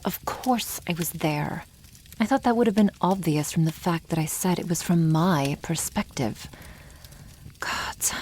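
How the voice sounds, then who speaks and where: Slow, creaky-voiced teasing dragon girl acted by a woman. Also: on the low side, slight background noise. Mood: exasperated. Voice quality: soft, breathy, often near whisper. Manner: slow, teasing, wry, condescending to humans. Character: proud, teasing young female dragon, protective elder sister. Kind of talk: ASMR roleplay, addressing the listener.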